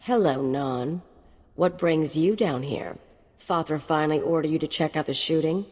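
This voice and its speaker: A cocky female mercenary with a very low voice and flippant, sardonic delivery. The game audio trails into a long reverb. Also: moderate pace, a little mellow.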